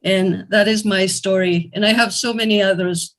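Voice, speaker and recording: A woman's voice: medium pitch, steady tone, normal speed. A woman from Ecuador hosting an online fundraiser, telling a personal story in an earnest, heartfelt way over a video call. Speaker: event host.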